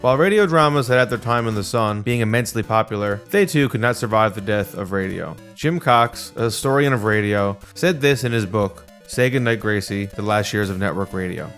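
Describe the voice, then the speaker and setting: A man's voice: on the low side, lively intonation, fairly quick, few pauses, a bit bright, soft background music. A man narrating a scripted video essay.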